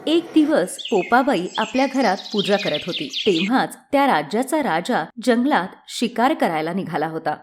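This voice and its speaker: A woman's voice: mid-range voice, sing-song, normal speed, hardly any pauses, noisy background. A woman narrating a scripted story as a storyteller.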